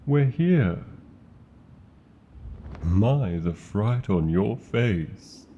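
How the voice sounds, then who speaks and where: A man with a British accent voicing a tender, protective male dragon in a fantasy ASMR roleplay, speaking in a slow, formal and doting way full of endearments. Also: lowish voice, animated, dark tone, dull audio, a little hiss or hum. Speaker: voice actor.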